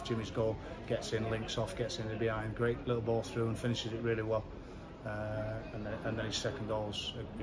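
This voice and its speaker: A middle-aged football manager giving a measured, halting post-match interview outdoors in a covered stand, with many restarts. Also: male voice, lowish voice, low-quality recording.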